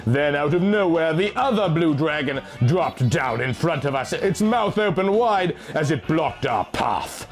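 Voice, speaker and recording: A man's voice: fairly high pitch, expressive, unhurried, hardly any pauses, soft background music. A man reading a fantasy story aloud as dramatic, theatrical scripted narration. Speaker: audiobook reader.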